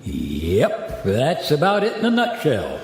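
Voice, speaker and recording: A man's voice: mid-range voice, huge pitch swings, slow, a little mellow, full character voice. A man acting as a matter-of-fact modern American taxpayer in a radio play, explaining things in a plainspoken way. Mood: resigned. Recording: echoey hall-like reverb.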